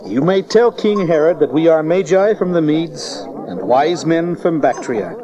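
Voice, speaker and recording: A man's voice: a little high, expressive, a little slow, mellow. An actor playing a proud, clever young wise man from the East in a Bible audio drama, lofty and declamatory. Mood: lofty disdain. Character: proud young male magus, haughty, clever Eastern sage. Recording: dull cassette tape audio drama.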